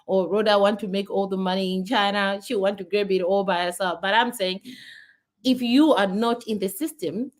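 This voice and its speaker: A Zimbabwean woman in her thirties speaks straight to her audience in a loose, unscripted vlog. Her voice sits at an ordinary middle pitch, and her talk is earnest, with many restarts and repeated words as she works through her thoughts. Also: steady tone, moderate pace. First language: not English. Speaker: vlogger. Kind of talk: casual talk to camera.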